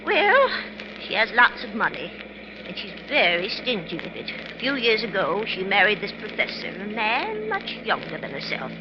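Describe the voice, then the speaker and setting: An actress playing a sensible, worried lady sleuth with an earnest, brisk, fretful manner in 1950s radio mystery style. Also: mid-range voice, huge pitch swings. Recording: muffled, noisy 1950s radio recording.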